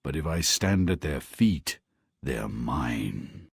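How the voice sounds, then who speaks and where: A man's voice: very animated, no room sound, studio quality, exaggerated voice. A man playing Death as a stern, commanding supernatural godfather in a fairy-tale video game. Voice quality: very deep, gravelly, husky. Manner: slow, commanding, ominous.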